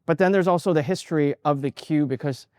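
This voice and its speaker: A man's voice: a little high, quick pace, darkish tone, clear, resonant tone, no echo. Man, 40s, from Canada, artist, interview, hesitant, many fillers, dry close sound. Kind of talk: interview about his artwork.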